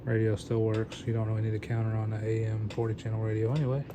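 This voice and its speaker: Man in casual demo, clearly noisy recording. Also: fairly low pitch, level tone, quick pace. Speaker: seller demonstrating used radio gear. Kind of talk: casual product demonstration.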